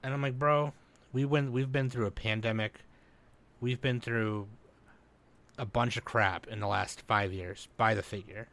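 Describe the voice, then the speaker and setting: A man's voice: medium pitch, steady tone, unhurried, gravelly, a bit bright. A man hosting a podcast in casual chat, talking in profane, bro-heavy slang. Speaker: podcast host.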